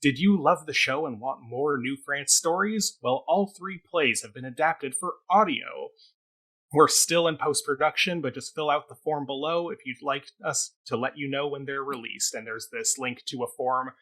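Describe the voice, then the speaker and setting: A man's voice: medium pitch, moderate pace, pushed and bright, low-quality recording. A man giving a casual review as a talk show co-host, in a hesitant, rambling, conversational way.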